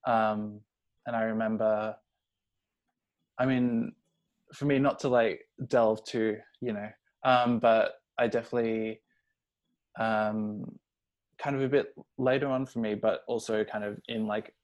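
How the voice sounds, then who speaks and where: A man's voice: on the low side, little pitch movement, slow, long pauses. A young adult man talking casually and personally, hesitant and rambling, leaning heavily on filler words. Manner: hesitant, rambling, heavy use of "like".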